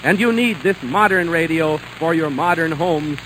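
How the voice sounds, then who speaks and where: A male announcer reading a 1930s radio advertisement as a smooth sales pitch. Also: moderate pace. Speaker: commercial announcer. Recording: old radio recording with noise.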